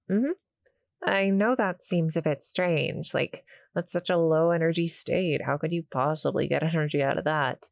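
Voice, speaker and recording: A woman voicing a small, shy, friendly cuddle-monster girl in an audio roleplay, halting and apologetic as she speaks to the listener. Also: sits low, no echo, studio quality. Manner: nervous, halting, stammering, soft-spoken explaining.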